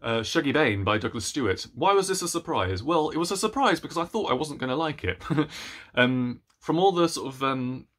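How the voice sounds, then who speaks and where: A man in his thirties chatting casually to camera as a book vlogger, playful, rambling and animated, with self-deprecating asides. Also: fairly high pitch, fairly quick. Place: small room at home with bookshelves.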